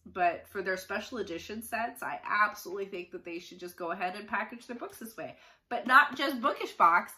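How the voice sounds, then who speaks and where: Woman, middle-aged, online book reviewer. Bright, medium-pitched voice, nasal and congested from flu, informal chatty delivery. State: stuffy, recovering from the flu. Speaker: book reviewer hosting an unboxing. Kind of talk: casual chat to camera. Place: small furnished room indoors.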